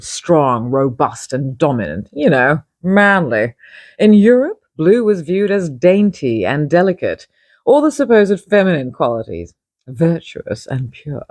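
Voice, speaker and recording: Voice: mid-range voice, dry recording. A person reading their own feature article as scripted narration, with casual wry asides, self-corrections and emphatic short phrases.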